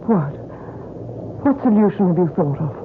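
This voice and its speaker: An actress playing a delicate but brave, devoted young gentlewoman in a radio melodrama, speaking fervently. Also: on the low side, expressive, moderate pace, background noise, close to an everyday voice. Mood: tender, troubled. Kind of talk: old-time radio drama acting. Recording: narrow-band old radio recording with music.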